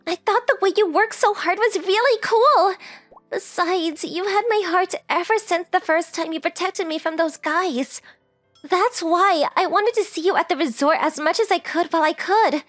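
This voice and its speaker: Actress as smitten teenage girl, very high-pitched, sweet, forthright, affectionate, dry studio sound, anime dub. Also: moderate pace, a bit bright, no echo, faint music behind. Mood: shy confession. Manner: affectionate, earnest. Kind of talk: anime-style manga dub acting.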